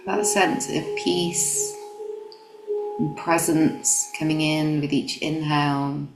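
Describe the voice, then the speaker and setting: A woman guiding a meditation in a gentle, calm, instructional manner. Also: low-pitched, slow pace. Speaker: meditation guide. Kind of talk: guided meditation. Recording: roomy echo, music behind. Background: background music.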